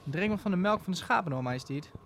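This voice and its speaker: A man's voice: high voice, lively intonation, fast, fairly bright tone, slight background noise. A man playing a humble, folksy, hesitant country farmer in a comedic audio drama. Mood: friendly.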